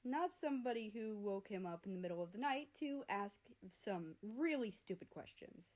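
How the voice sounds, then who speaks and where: A woman reading fiction aloud as a narrator, in an expressive scripted storytelling style. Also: medium pitch. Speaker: fan fiction reader and narrator.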